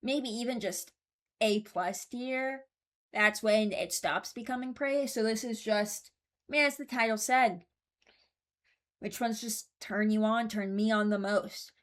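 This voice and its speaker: Woman host, casual commentary, mid-pitched voice, rambling, thinking out loud. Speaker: host ranking game voice lines.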